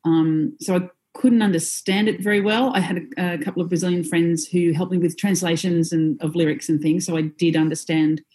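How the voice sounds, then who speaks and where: A woman singer talks through her experiences in a relaxed interview, pausing often with ums and soft laughs. Her voice sits a little low and sounds warm and mellow. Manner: reflective, with frequent "um" and soft laughs. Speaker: singer in a band, guest. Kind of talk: conversational interview.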